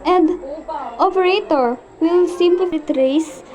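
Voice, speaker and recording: Woman acting an anxious, high-voiced, demanding housewife. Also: slow, darkish tone. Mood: horrified, urgent. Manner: fretful, insistent, imperious. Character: anxious, sickly, demanding housewife alone at home. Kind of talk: amateur student radio play acting.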